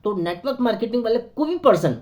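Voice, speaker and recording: A hoarse man with a sore throat, talking earnestly to camera as a network marketing consultant, persuading with many hesitant fillers. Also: very high voice, animated, fast, a little mellow. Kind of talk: persuasive motivational talk to camera.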